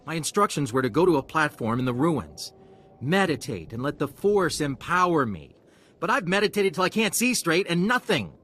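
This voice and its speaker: Whiny, anxious young male trainee for a fantasy game, higher voice, very animated, easily flustered. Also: moderate pace, slight background noise. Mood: exasperated. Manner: complaining, flustered. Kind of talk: video game dialogue acting.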